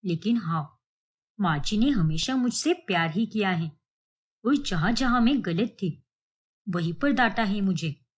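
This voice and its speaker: A woman playing an earnest, self-sacrificing middle-aged mother in a sentimental cartoon melodrama. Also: medium pitch.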